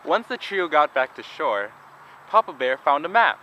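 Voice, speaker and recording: A man's voice: a little high, big pitch swings, normal speed, pushed and bright, lo-fi sound, slight background noise. A man narrating a vlog and telling stories to his viewers. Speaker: vlogger.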